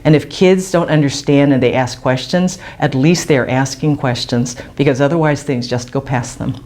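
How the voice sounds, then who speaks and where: A woman, a teacher, reflecting on her own language learning in a measured way. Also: a bass voice, a little mellow. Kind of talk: reflective personal testimony.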